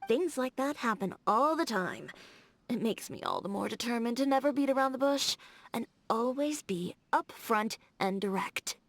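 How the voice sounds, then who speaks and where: A woman's voice: on the high side, animated, moderate pace, no echo. A woman voicing a confident, blunt young fashion designer in a video game, direct and playfully teasing. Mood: frank, firm. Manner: direct, self-assured, teasing. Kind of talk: scripted video game character dialogue. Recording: background music.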